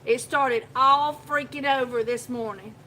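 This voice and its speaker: A chatty woman rambles through a story on a live phone video from her shop. Her voice sits a little high and sounds bright and forceful, and she breaks into laughter often. Faint background noise hums behind her. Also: fairly even intonation, measured pace. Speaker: soap shop owner hosting a live video. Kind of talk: casual live-stream chat.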